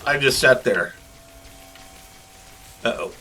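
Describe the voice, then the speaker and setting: A man's voice: medium pitch, lively intonation, slow, bright, forceful tone. A man doing casual, chatty game commentary as a channel host, rambling informally through asides.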